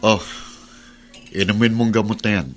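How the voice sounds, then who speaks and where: A man's voice: lowish voice, steady tone, moderate pace, soft background music, lightly acted. A man with a Filipino accent playing a jealous, controlling rich husband in a radio drama, commanding and menacing. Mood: cold, stern.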